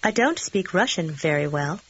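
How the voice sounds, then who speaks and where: A woman speaking model dialogue lines in a language course, in a clear and measured way. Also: on the low side, expressive. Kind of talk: scripted dialogue lines for repetition. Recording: dull lo-fi course audio.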